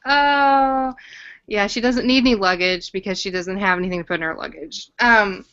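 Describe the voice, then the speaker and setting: Young woman podcast cohost, bright voice, gushy and jokey chatting, kinda muffled lofi. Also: mid-range voice, normal speed, clean tone. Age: young adult. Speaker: podcast co-host. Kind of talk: casual chat discussing a TV drama. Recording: dull lo-fi audio.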